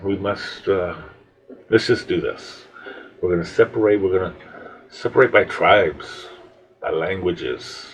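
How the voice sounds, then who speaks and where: A man voicing a weary, resolute leader of an ancient tribe in a storytelling, halting and earnest. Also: low voice. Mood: grave, resolute. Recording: dull lo-fi audio with background noise.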